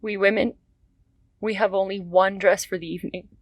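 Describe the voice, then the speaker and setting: A woman's voice: medium pitch, steady tone, normal speed, no room sound, studio quality, natural voice. An actress playing a poor, frightened young woman in a radio drama, pleading and emotional. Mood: defiant.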